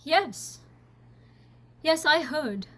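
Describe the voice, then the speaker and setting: A woman playing a guarded, highborn young lady with a genteel, fretful way of talking in a radio drama. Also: lively intonation, drawn-out words, a bit bright, a little hiss or hum, full character voice. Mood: startled, uneasy.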